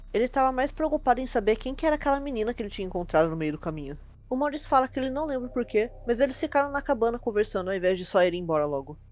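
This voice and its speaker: A woman's voice: mid-range voice, darkish tone. A woman with a Brazilian accent narrating a horror story in a conversational style.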